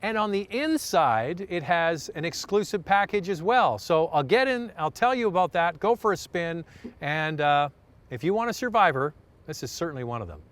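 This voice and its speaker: A man's voice: fairly high pitch, lively intonation, normal speed, a bit bright. A middle-aged man presenting a car review in an enthusiastic, conversational way. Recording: clear vehicle and outdoor background noise.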